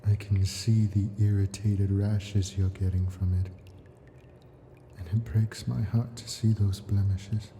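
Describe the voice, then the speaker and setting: Man voicing a dark, slow, possessive ancient dragon. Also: fairly low pitch, level tone, slight background noise. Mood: proud, coaxing. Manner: slow, coaxing, possessive endearments with soft whispers. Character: ancient male dragon, possessive, doting captor of treasured pet. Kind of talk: ASMR roleplay monologue.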